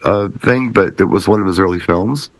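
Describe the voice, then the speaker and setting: A man's voice: low voice, normal speed, no room sound. A middle-aged man hosting a casual music panel chat with trivia questions. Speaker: host of a music talk show.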